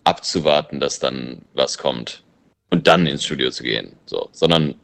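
Very deep-voiced musician, native English speaker. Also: male voice, moderate pace, vocal fry, fairly bright tone. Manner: loose, musing, thinks aloud. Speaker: musician and producer. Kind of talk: casual interview answers.

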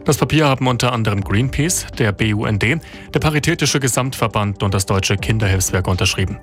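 A man's voice: lowish voice, quick pace, soft background music. A man delivering a radio news report in a matter-of-fact way. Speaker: radio correspondent.

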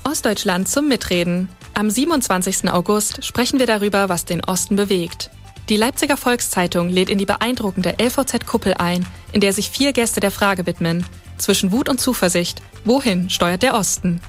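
A woman doing a scripted radio commercial voice-over in a clear promotional tone. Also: medium pitch, moderate pace, faint music behind.

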